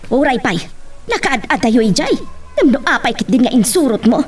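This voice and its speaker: A woman's voice: sits high, sing-song, normal speed, soft background music. A woman playing a scolding, accusing mother in an audio drama, with a Filipino accent. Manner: accusing, exclaiming. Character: scolding, suspicious mother and nagging wife. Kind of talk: Ilocano radio-style drama acting.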